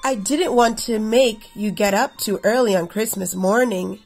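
A woman in her thirties reading a picture book aloud expressively for children, with a slightly husky, creaky voice. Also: medium pitch, moderate pace, soft background music. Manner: addresses children directly. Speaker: read-aloud storyteller for children.

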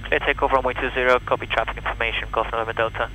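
A man's voice: medium pitch, level tone, rapid-fire. A man talking as a pilot over the radio from a small aircraft cockpit, giving clipped, formulaic readbacks. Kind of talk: air traffic radio calls. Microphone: headset over the aircraft radio. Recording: muffled radio with engine noise.

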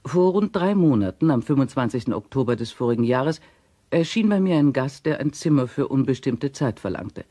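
A man's voice: mid-range voice, lively intonation, brisk, dark tone, no echo, close to an everyday voice. A man voicing a hotel owner's testimony in a radio play, in a formal, matter-of-fact monologue. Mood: factual, concerned. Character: resolute middle-aged female hotel owner's quoted testimony.

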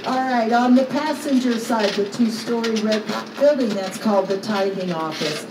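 A woman's voice: on the high side, moderate pace. A woman working as a tour guide, narrating a ride in an upbeat, jokey way and quizzing the riders. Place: open-air tram ride outdoors. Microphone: recorder's phone, guide some distance away. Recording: distant echoey voice over loud outdoor noise.

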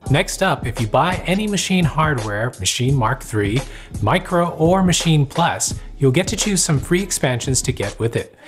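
A man's voice: a little high, animated, moderate pace. A man in his thirties hosting a music gear show, mixing scripted news with casual review talk in a studio. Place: home music studio, indoors.

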